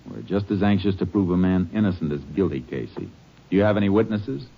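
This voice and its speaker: A man acting a calm, sharp-eyed Texas Ranger in an old radio western, speaking in terse, measured lines. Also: deep voice, gravelly, husky, exaggerated voice. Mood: firm, calm. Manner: terse, measured, matter-of-fact. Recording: muffled, hissy old-time radio recording.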